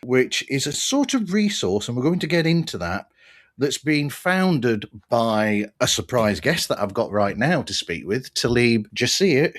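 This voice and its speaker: A man hosting a podcast, talking in an earnest, measured, conversational way as he reviews and interviews. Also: mid-range voice. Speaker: podcast host.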